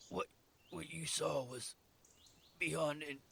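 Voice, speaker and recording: A man playing a mortally wounded young guard in an audio drama, bitter and weary, gasping out halting, stammering, broken phrases. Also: on the high side, fairly even intonation, vocal fry, fairly bright tone, breathy edge, full character voice. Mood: anguished, confessing. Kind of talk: dramatic audio fiction acting.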